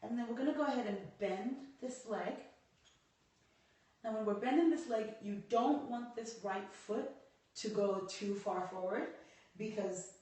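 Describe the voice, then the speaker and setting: Hoarse woman yoga teacher, cueing a pose as she does it, heard from across a very echoey room. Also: a little high, a little slow, dark tone. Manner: talks through poses while demonstrating, stops to greet viewers. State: hoarse from a cold. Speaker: yoga instructor. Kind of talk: live-streamed yoga lesson. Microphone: camera set back across the room. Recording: echoey room, voice far from the mic.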